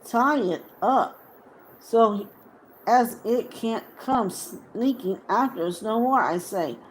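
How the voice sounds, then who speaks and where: A woman's voice: on the high side, slow, slight background noise, pitched above the performer's own voice, low-quality recording. Woman voicing a blunt, distrustful rustic fellow. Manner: blunt, folksy grammar. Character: gruff, distrustful, plain-spoken rustic male companion. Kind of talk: audiobook character voice.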